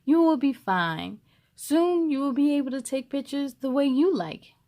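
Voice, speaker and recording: A woman voicing a kind, patient storybook uncle, gently and emphatically encouraging his young nephew. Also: high voice, moderate pace. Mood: reassuring.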